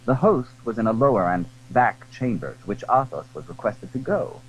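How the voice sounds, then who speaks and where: Male storyteller, medium pitch, animated intonation, dramatic scripted narration, classic adventure, slightly noisy old recording. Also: moderate pace.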